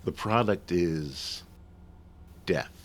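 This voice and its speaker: A man acts out a suave Martian commander from a 1950s radio play. His voice is low, measured and formal, with smug, cold menace in every deliberate phrase. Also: exaggerated voice. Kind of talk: 1950s radio drama acting.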